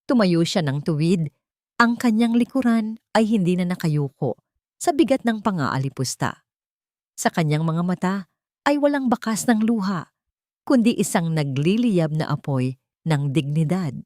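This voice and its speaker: A woman with a fairly low voice reads a scripted dramatic story. Her delivery is solemn and measured, in a literary storytelling manner. The sound is dry and close, with little room in it. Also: expressive, a little mellow, dry recording. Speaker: narrator of love-story drama.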